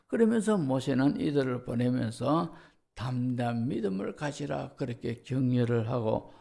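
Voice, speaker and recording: A male pastor with a gravelly voice and a Gyeongsang Korean accent, preaching in a didactic way and putting rhetorical questions to his listeners. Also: medium pitch, dark tone. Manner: didactic, enumerating, checks in with listeners. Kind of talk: Sunday sermon, expository with rhetorical questions.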